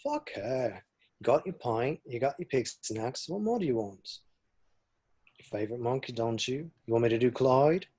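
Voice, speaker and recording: A man's voice: medium pitch, animated, normal speed, darkish tone, slightly rough. A man playing a crude, cheerful young slacker in an amateur comedy table read, with sweary, matey banter. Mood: matey, coaxing. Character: crude, cheerful, loyal young male slacker best mate. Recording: home-recorded remote read.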